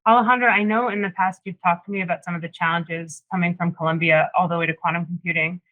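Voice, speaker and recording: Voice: mid-range voice, steady tone, quick pace, phone-quality sound. A panel moderator who works in product, chatting in a friendly, conversational way and putting questions to the guests. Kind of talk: hosting a careers panel.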